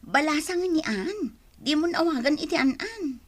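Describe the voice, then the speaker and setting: An actress playing a coy, cheerful young working daughter in a radio drama, with an Ilocano accent. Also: female voice, sits high, animated, measured pace. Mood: explaining.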